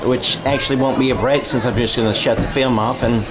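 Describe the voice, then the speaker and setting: A man's voice: mid-range voice, fairly even intonation, a little fast, over loud music. An American man doing live radio-style football play-by-play in a conversational way, thinking aloud and correcting himself as he goes. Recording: noisy outdoor game sound.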